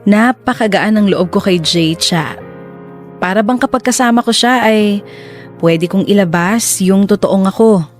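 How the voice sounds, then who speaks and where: A woman's voice: mid-range voice, a little slow, soft background music, lightly acted. A woman narrating a confessional love story in the first person for a radio drama, in a reflective manner. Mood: wistful, reflective.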